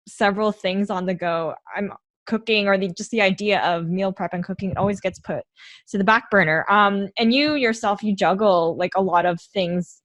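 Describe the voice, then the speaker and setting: A woman's voice: mid-range voice, fairly bright tone, no room sound. A woman hosts a podcast and chats her way into questions, loose and conversational. Her voice sits mid-range, and the recording is dry and close. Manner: chatty, friendly questioning with fillers. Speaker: podcast host. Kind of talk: casual interview chat.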